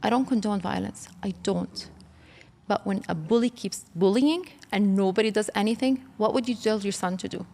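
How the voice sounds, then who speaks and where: A woman's voice: medium pitch, moderate pace, gravelly, warm tone. A middle-aged woman who grew up in Kuwait, speaking with passion as an interview guest and building to emphatic outbursts. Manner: impassioned, rising to emphatic outbursts. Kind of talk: emotional interview. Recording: long reverb hall.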